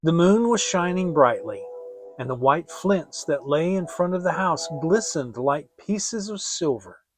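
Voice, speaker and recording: A man narrating a fairy tale as a dramatic reading, in a theatrical, deliberate storytelling manner. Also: a little high, lively intonation, a little hiss or hum. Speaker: audiobook reader.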